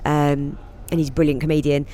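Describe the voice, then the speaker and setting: A woman, a comedian and singer, chatting as a guest in an interview, laughing often and going off on quick asides. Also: sits low, little pitch movement, dark tone. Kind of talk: casual interview chat.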